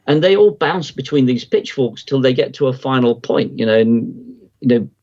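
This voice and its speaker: A man's voice: mid-range voice, lively intonation, normal speed, darkish tone, highs cut off. A middle-aged man, a guest on a podcast, explaining his ideas in a reflective, rambling way with many restarts. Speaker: guest market analyst.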